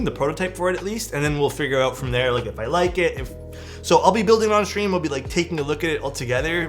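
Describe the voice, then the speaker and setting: A young man, chatting casually as a livestream host, excitable and rambling with lots of "like" and "dude". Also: a little high. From Canada. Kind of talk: casual livestream chat, reading chat messages. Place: his room at home. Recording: speech over background music. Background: background music.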